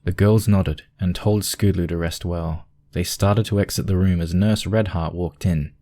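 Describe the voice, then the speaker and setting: A man's voice: sits low, fairly even intonation, normal speed, warm tone. A man narrating a scripted piece of fiction as a storyteller.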